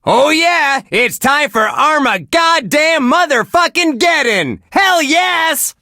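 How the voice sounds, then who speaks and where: A bright, forceful radio DJ, bursts with wholesome cheer. He gushes in folksy exclamations with big swings of pitch, recorded dry and close, as heard on a game's rock station. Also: lively intonation, takes it slowly, no echo, exaggerated voice. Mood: excited, gushing. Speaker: radio DJ host. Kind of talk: video game radio DJ patter.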